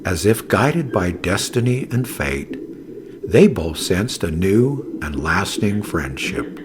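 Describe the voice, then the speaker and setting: A man reading a scripted children's story as narrator, in a dramatic, deliberate way. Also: low-pitched, very animated, noisy background.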